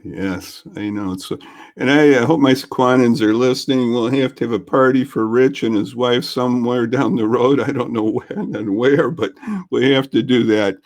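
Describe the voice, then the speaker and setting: A man's voice: mid-range voice, normal speed. A man hosting a casual local interview show over a video call, talking in a folksy, chatty way. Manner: folksy, chatty, warm thanks. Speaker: host.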